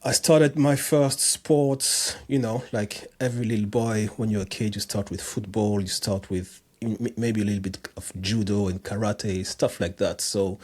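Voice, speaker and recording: French-accented man, relaxed and halting. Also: lowish voice, breathy edge. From France and other countries. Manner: relaxed, halting, many restarts and fillers. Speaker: guest, personal trainer. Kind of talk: casual podcast interview.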